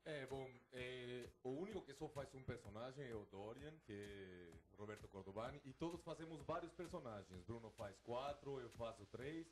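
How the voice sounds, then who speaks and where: A Mexican actor, a native Spanish speaker with a Mexican accent, talking casually in an interview. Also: male voice, medium pitch, animated, normal speed, hardly any pauses.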